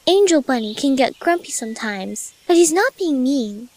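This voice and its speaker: A woman voicing a gentle, patient, motherly young female cartoon pony in an audio drama, soft-hearted and coaxing. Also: sits high, animated, moderate pace, a little hiss or hum. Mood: soothing. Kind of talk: scripted audio drama character voice.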